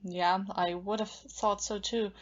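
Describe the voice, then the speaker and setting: A woman's voice: medium pitch, steady tone, a little slow, close to an everyday voice. A woman playing a bitter, obsessive young jilted woman with brittle politeness in an audio play. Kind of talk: amateur audio play acting. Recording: dull, muffled lo-fi.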